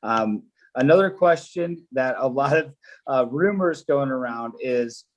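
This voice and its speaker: A man's voice: medium pitch, animated, measured pace, highs cut off. A man whose first language is Polish, a loan officer explaining mortgage steps in a loose, casual way with lots of fillers on a live stream.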